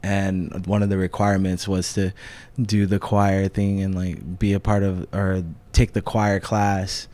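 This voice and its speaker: A man's voice: low voice, flat delivery, unhurried, few pauses, mellow. A young man from Hawaii, a musician being interviewed, talking in a laid-back way with many fillers and restarts. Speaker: guest musician. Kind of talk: casual interview talk.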